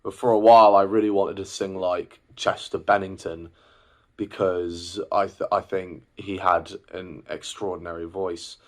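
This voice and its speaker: A young man in his twenties with a deep, low voice talks to camera in a casual, personal way. He rambles a little, pausing on fillers and restarting his sentences as he works through his thoughts. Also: little pitch movement. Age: 20s. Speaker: singer and vlogger.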